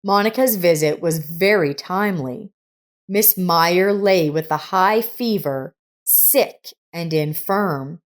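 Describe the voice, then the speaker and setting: A woman reading a scripted story as narrator, in a measured, deliberate manner. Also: on the low side.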